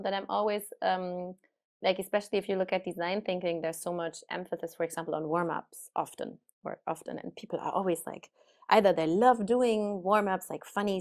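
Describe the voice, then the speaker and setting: Woman, neuroscience guest, casual conversation, video call, animated and chatty, medium pitch. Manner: animated, chatty, many fillers and restarts.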